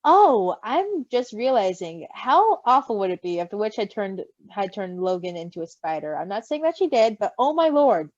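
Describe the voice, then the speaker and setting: A woman reading a story aloud in an animated style, with casual asides, on a slightly dull recording. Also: medium pitch, normal speed, hardly any pauses, no room sound. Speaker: story reader.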